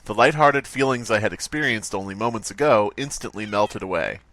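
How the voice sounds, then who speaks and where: A man's voice: fairly low pitch, lively intonation, fairly quick, a bit bright. A man hosting a comedy podcast, reading stories aloud and riffing casually with jokes and swearing. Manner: joking, swears casually, riffs on bits. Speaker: podcast host.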